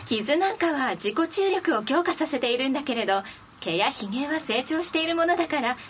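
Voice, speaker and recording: A woman's voice: high-pitched, expressive, a little fast, a bit bright, slight background noise. A woman playing a kindly, assured young female healer in an anime-style fantasy radio drama.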